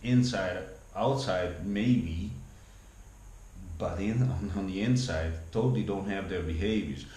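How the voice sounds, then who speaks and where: A man's voice: low voice, measured pace, mellow. A man in his forties with a Dutch accent, rambling through a casual vlog monologue with many restarts and repeated words. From Netherlands. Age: 40s. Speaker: vlogger. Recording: echoey room with faint background hum.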